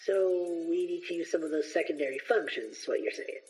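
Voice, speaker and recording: A woman playing an excitable young male student adventurer, breezy and showy, in an audio drama. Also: on the low side, fairly quick, slightly rough, soft background music, low-quality recording. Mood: breezy, unbothered.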